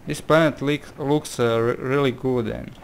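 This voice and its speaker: A man's voice: mid-range voice, steady tone, normal speed, vocal fry, a little hiss or hum. A man from Croatia with a Croatian accent, casually commenting on a game, hesitant and exclamatory, reading its text aloud. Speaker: gameplay commentator. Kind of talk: casual let's-play commentary.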